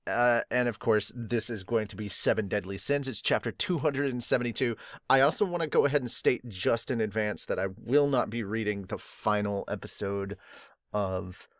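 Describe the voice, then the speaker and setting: A man hosting a podcast, casually reading and reacting to a manga, expressive with laughs and swearing. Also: fairly low pitch, normal speed, no room sound, studio quality. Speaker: podcast host.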